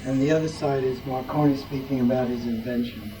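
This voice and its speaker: A man speaks casually while presenting at a club show-and-tell. His voice is mid-pitched and picked up from some distance. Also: steady tone, a little fast, background noise. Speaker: club member presenting collection.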